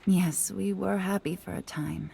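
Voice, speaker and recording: A pensive, sorrowful fox spirit from a fantasy game. Her voice is low and dusky, with a creaky edge. She speaks softly and breathily, measuring each wistful phrase. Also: steady tone, measured pace, slight background noise. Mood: wistful, tender. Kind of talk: cinematic game acting.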